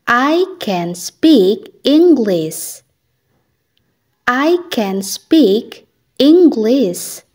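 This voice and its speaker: Very slow, lively woman teacher. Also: fairly high pitch. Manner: clear, deliberate, lively intonation. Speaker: English teacher for children. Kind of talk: slow repeated phrase drills with translations.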